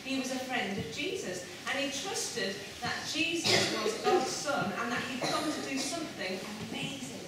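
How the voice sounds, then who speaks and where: Lady doing kids puppet show, high expressive voice, far from the mic, really echoey noisy room. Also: normal speed, hardly any pauses, a loud crowd. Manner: expressive, simple explaining. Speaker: presenter of children's puppet show. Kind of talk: storytelling and chat to children. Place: school hall, standing by puppet stage. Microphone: far camera.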